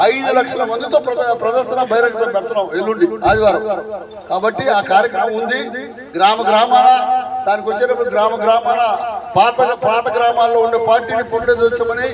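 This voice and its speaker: Guy doing a fired up political speech, really high voice, crowd around, mic far off. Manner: emphatic, repetitive, rhetorical questioning. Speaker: political party district leader. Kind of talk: impassioned outdoor political speech. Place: outdoors at a village gathering. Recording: distant outdoor mic with crowd noise.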